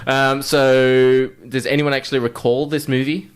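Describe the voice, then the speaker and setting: A man's voice: medium pitch, little pitch movement, moderate pace, clean tone. A man hosting a podcast in casual, sweary chat about films and video games. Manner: foul-mouthed. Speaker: podcast host.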